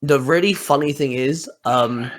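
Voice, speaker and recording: A man with a medium-pitched voice hosts a casual podcast. He talks conversationally, putting questions to a guest and adding his own comments. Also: a little slow. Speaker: podcast host.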